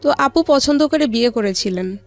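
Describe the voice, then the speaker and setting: A woman recounting her own life story as an interview guest, with halting, hesitant delivery. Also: a little high, lively intonation, faint music behind. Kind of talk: personal life-story narration.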